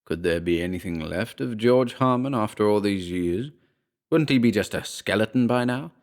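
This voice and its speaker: A man with a fairly low voice reads a horror story as its narrator. His delivery is measured and dramatic, shaped as scripted storytelling. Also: animated, a little mellow.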